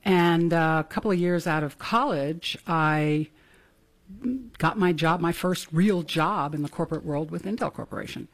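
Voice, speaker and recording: A woman's voice: deep voice, moderate pace. A middle-aged woman from Venezuela, a political candidate, talking about her life with self-deprecating jokes. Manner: self-deprecating, joking, fluent. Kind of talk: interview guest.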